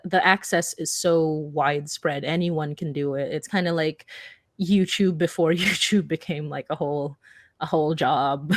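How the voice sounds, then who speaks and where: A woman's voice: deep voice, dry recording, studio quality. A woman from the Philippines speaking casually and informally as a podcaster on a panel, with a self-deprecating way of talking. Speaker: audio drama podcaster and panelist.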